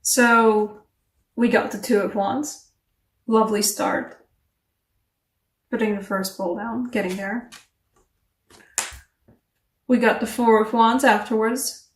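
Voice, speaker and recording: A woman giving a casual tarot reading to camera, chatty and animated, English not her first language, laughing at herself between rambling asides. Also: on the high side, slow pace, pauses often, distant mic. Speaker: tarot reader. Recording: echoey room, voice a bit off-mic.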